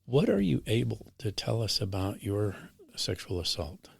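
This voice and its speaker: Earnest low-voiced male podcast host. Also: very animated, moderate pace, gravelly, slightly rough. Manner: earnest, formal and encouraging. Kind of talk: podcast interview hosting.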